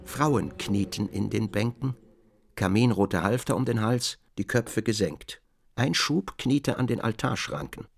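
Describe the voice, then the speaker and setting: An actor playing a measured literary narrator, delivering descriptive scripted narration in a radio drama. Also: male voice, lowish voice, creaky. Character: measured male literary narrator observing closely.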